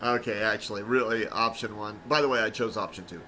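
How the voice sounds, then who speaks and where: A man commenting casually as he streams an old video game, rambling with plenty of ums and restarts. His voice is bright and forceful. A faint hum of noise sits under it. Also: fairly low pitch, steady tone, fairly quick. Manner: rambling, many fillers and restarts. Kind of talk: casual let's-play commentary.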